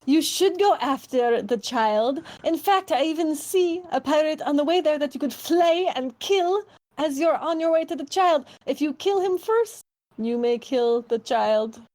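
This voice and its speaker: A woman's voice: high-pitched, lively intonation, unhurried, a little mellow. A woman voicing a bloodthirsty cannibal pirate captain in a put-on French accent, giving orders in a menacing way.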